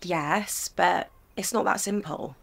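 An actress playing a hopeful young woman who dreams of a stage career, speaking in a slow, wistful, hesitant way. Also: on the low side, creaky, slightly rough, full character voice. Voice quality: sings softly.

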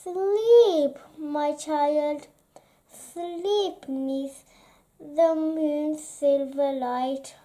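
A woman reading a children's bedtime story aloud in a gentle, lulling manner, phrase by phrase with pauses. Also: sits high. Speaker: children's book reader.

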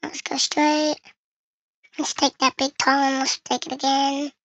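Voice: high-pitched, completely flat delivery, slow, fairly bright tone, a little hiss or hum. A young-sounding gamer speaking through a voice changer, chatting to viewers and thinking aloud in casual game commentary. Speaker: gaming video creator.

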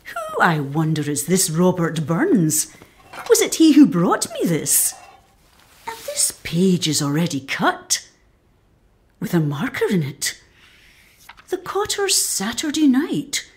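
A woman's voice: lowish voice, wildly swooping pitch, slight background noise, full character voice. A woman playing a proud, strong-minded, aristocratic middle-aged widow with an imperious manner in a radio play. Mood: musing. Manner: imperious, declamatory, deliberate. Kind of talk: radio drama acting.

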